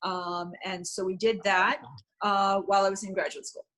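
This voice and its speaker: A woman's voice: medium pitch, flat delivery, normal speed, fairly bright tone. A woman guest in an interview, answering candidly and with self-deprecating humor, with frequent ums. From United States.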